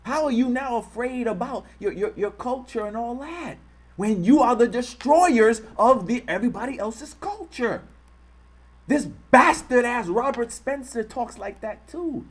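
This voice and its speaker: An American man hosting a live-streamed commentary, passionately hammering repeated rhetorical questions and pausing to thank viewers. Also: extremely high pitch, expressive. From United States. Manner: impassioned, repetitive rhetorical questions. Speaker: online channel host.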